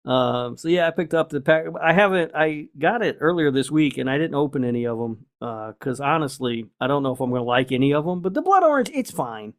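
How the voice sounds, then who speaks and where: A man's voice: a little high, lively intonation, a little fast, hardly any pauses. A middle-aged man co-hosting a podcast, chatting casually. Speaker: podcast co-host. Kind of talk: casual chat.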